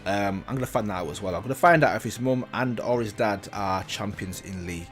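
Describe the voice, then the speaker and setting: A man's voice: lowish voice, fairly quick, faint music behind. A man hosting a reaction video, thinking aloud in casual commentary with frequent restarts and self-corrections.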